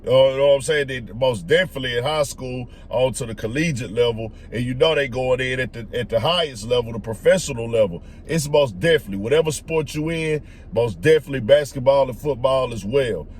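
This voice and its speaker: A man's voice: medium pitch, a little fast, few pauses. Slangy male commentator, faint background noise. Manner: slangy, repetitive emphatic phrasing. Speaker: sports commentary host. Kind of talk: casual opinionated commentary.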